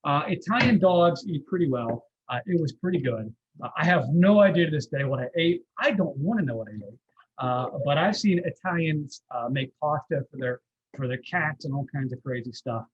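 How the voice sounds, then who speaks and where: A man's voice: fairly high pitch, lively intonation, moderate pace, breathy edge, lo-fi sound. A man giving a live cooking demonstration as guest chef, explaining step by step in a folksy, reassuring, conversational way.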